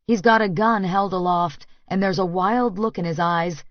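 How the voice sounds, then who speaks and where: A woman's voice: lowish voice, normal speed, no echo, lo-fi sound. A woman reading first-person fiction as a scripted audiobook narration.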